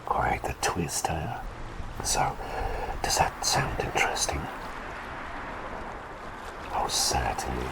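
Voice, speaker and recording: A man voicing a quirky male talking bird plague doctor, telling rambling bedtime stories in a soft ASMR whisper. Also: exaggerated voice, rough recording. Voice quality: deep creaky whisper. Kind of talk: ASMR roleplay bedtime storytelling.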